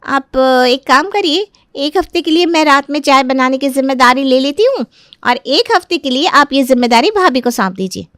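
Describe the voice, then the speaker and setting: A woman's voice: high voice, animated, moderate pace, fairly bright tone, no room sound, clean studio sound. A woman playing a defiant, crafty young daughter-in-law who argues and complains in a dramatized moral story. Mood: indignant. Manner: argumentative, protesting.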